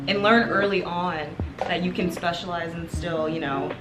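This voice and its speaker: A woman's voice: fairly low pitch, steady tone, moderate pace, reverberant, loud music behind the voice, low-quality recording. A young woman, a medical student, hosting an interview in an upbeat way. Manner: upbeat, friendly YouTube host. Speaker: host.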